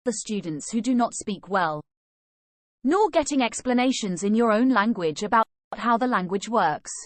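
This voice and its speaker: A woman reads a language lesson from a script, giving sample questions and answers and then study advice. Her voice sits fairly high and moves with a lot of pitch variation. The sound is dry and close, with no room echo. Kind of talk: reading a scripted lesson aloud.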